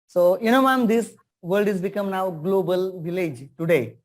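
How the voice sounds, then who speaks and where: A man's voice: high-pitched, moderate pace. A man with an Indian accent hosting an international webinar as a teacher, speaking earnestly and formally and repeating phrases for emphasis over a video call.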